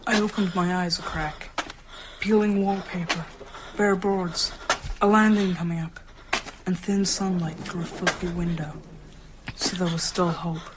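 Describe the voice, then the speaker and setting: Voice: medium pitch, steady tone, unhurried, pauses often, lightly acted. A young performer playing a brave, urgent boy in a horror radio drama. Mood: tense narration. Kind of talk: radio drama acting and narration. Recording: clear background noise, clicks.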